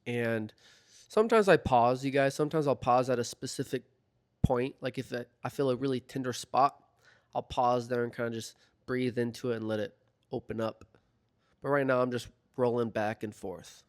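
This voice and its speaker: A young man in a laid-back, chatty voiceover, his voice slightly hoarse from a recent illness. He talks casually and keeps dropping in fillers as he walks through a stretching routine. His pitch sits in the middle range. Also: normal speed. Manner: relaxed, chatty, filler-heavy. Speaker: fitness vlogger. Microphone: voiceover mic.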